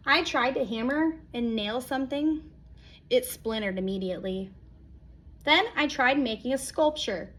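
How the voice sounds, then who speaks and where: A woman reading a children's picture book aloud as a storyteller, expressive, with dramatic pauses and acted-out exclamations. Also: on the high side. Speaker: read-aloud storyteller, teacher.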